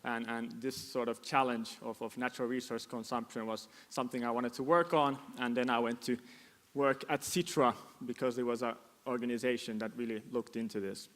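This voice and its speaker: A man's voice: mid-range voice, level tone, fairly quick, a bit bright. Hesitant man speaking in an echoey hall. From Finland. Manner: hesitant, many fillers and repeated words. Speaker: conference speaker. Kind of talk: conference presentation. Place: conference hall.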